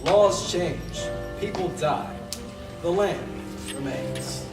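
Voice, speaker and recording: A man's voice: sits high, extremely slow. A man playing a solemn, principled statesman, a presidential statue come to life, in an amateur radio play. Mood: solemn, proud. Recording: echoey, distant mic, music behind.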